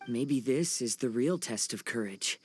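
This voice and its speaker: A man voicing a poetic, observant wanderer in a video game, musing quietly in literary phrases. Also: medium pitch, expressive, unhurried, husky, soft background music. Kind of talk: video game cutscene acting.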